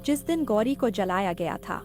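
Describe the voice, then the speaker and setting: A woman voicing an anxious, sorrowful mother, grave and emotional, in an animated horror story. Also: medium pitch, moderate pace, lightly acted. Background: music.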